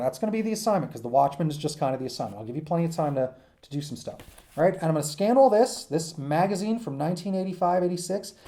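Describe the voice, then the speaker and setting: A man lectures informally, as a teacher would to a class. His voice is mellow, without any hard edge. He rambles through his points and keeps stopping to check that listeners follow. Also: fairly high pitch. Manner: rambling, frequent check-ins like "okay?" and "right?". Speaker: teacher giving an assignment. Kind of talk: informal lecture to students, off the cuff.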